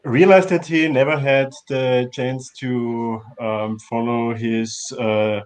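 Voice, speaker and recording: A man giving a personal account as a filmmaker guest, haltingly searching for his words in English. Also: mid-range voice, steady tone. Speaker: filmmaker guest on a festival panel. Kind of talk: personal account in a panel interview.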